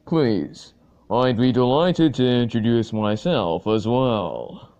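A man's voice: medium pitch, lively intonation, takes it slowly, a little mellow, muffled recording. A man voicing a formal, self-satisfied male adventurer in an amateur cartoon. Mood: theatrical, proud. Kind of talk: amateur animated cartoon voice acting.

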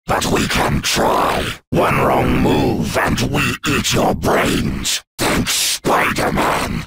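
A man's voice: very noisy, heavily acted. An actor voicing a hungry, menacing male alien symbiote in a video game, who calls himself "we" and growls threats and gloats. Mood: brooding. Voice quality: deep gravelly growl. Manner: speaks of itself as "we", threatening and gloating.

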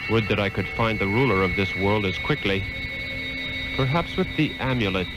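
An actor playing an earnest, resolute sorcerer hero in a 1960s radio drama, with formal, declamatory delivery. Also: male voice, fairly low pitch, lively intonation, normal speed, loud music behind the voice, close to an everyday voice, rough recording. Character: earnest, resolute adult male sorcerer hero, devoted pupil.

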